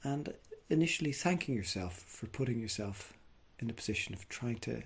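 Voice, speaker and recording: A man leading a guided body scan meditation, speaking gently and without hurry, leaving long pauses. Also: medium pitch, animated, creaky, husky. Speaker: mindfulness meditation teacher.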